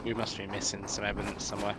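Low, flat-voiced man over noisy game chat. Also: very fast. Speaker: player in a tactical police game. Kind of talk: casual team radio-style game chat. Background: game ambience and noise.

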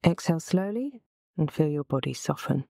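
Low, mellow woman guiding relaxation. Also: lively intonation, vocal fry, no room sound, studio quality. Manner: gentle, soothing, unhurried instruction. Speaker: guided relaxation instructor. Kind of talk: guided relaxation meditation.

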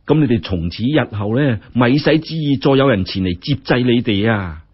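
A man narrator plays a righteous women's representative in a Cantonese audio story, with a Hong Kong accent. The voice is husky and forceful in manner, full of feeling, on a dull recording. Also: medium pitch, lively intonation, normal speed. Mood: stern, threatening.

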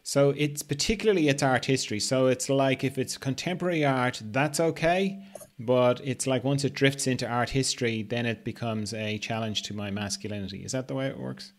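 A man's voice: mid-range voice, a little fast. A man around his sixties hosting a podcast, casually asking questions and thinking aloud in a hesitant way. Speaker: podcast host, interviewer. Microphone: video call with earpiece.

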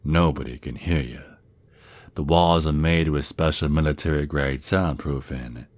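A man's voice: extremely low pitch, steady tone, unhurried, no echo. A man playing a menacing, mocking, protective werewolf father with a Southern US accent, drawling and taunting in an ASMR roleplay. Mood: smug, taunting. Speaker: audio roleplay performer.

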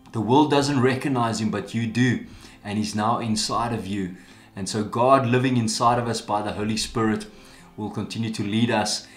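A man in his forties, a pastor, invites the church to its services, speaking straight to the camera and inviting people in. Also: mid-range voice. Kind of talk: church announcement video. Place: small indoor room with plants and an orange chair. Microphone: camera framed from the chest up. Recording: echoey room with soft guitar music.

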